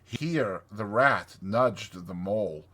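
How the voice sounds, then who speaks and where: Bright, measured male storybook narrator. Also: lowish voice, expressive, breathy edge. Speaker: narrator, audiobook reader. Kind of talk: dramatized scripted storybook narration.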